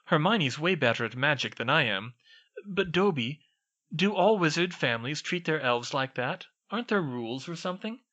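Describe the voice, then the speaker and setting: A man voicing a nervous young wizard boy who stammers and talks in urgent hushed tones. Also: on the high side, expressive, normal speed, highs cut off, pitched above the performer's own voice. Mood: earnest.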